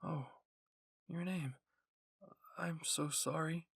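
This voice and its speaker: Man reading as a young woman soldier, anime roleplay, sad, subdued, quietly bitter, husky, dry recording. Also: fairly high pitch, fairly even intonation, slow pace, no room sound, pitched above the performer's own voice, full character voice. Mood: concerned. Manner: subdued, downcast. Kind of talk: anime fan fiction roleplay.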